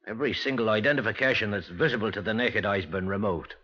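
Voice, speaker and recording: Dutiful British police officer, 1950s radio play, slightly low, tense-edged voice, colloquial, lo-fi sound. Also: male voice, quick pace, pushed and bright. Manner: colloquial, down-to-earth. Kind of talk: 1950s radio drama acting. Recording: dull, lo-fi 1950s radio recording.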